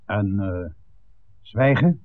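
An actor playing a gruff, hearty village innkeeper and father in a 1960s radio play, speaking in a blunt, folksy way. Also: fairly low pitch, animated, extremely slow, no echo. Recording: tinny old radio recording with hiss.

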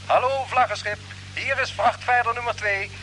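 An eager, dutiful space pilot with a high, sharp voice. He gives crisp, respectful replies in an old radio play, with a faint hum on the link. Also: fairly even intonation, moderate pace, poor audio. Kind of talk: radio drama acting.

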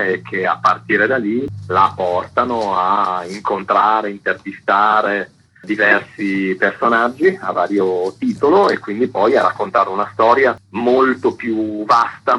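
Very low male voice, raspy, forceful edge, deliberate explanatory interview delivery. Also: poor audio. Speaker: author being interviewed.

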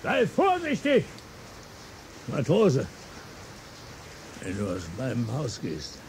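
An actor playing a bitter, drunken former revolutionary in a radio play, declaiming between low mutters and outbursts. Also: male voice, huge pitch swings, slow, darkish tone, slight background noise, heavily acted. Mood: bitter raving. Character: bitter, drunken old male revolutionary, brooding and wild. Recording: radio drama with music underneath.

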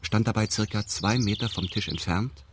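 An actor playing a detached male narrator in a radio drama, speaking in a formal, meticulous, deadpan manner. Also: lowish voice, normal speed, noisy background, lightly acted. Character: detached male narrator recounting a weekend with pedantic precision. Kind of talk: scripted first-person radio drama narration.

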